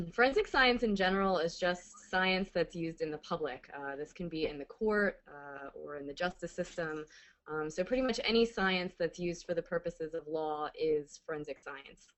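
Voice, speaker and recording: A woman's voice: lowish voice, moderate pace. An American woman in her thirties co-hosting a webinar as a science educator, in an informal professional style.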